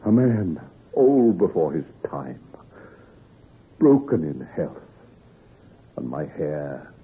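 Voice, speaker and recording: Grave, low-voiced tormented doctor, old radio. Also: male voice, sing-song, full character voice. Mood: anguished. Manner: grave, halting, melodramatic delivery. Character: anguished, prematurely aged doctor, not yet thirty, secretive, self-reproaching. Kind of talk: old-time radio drama acting. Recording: muffled, narrow-band old radio recording.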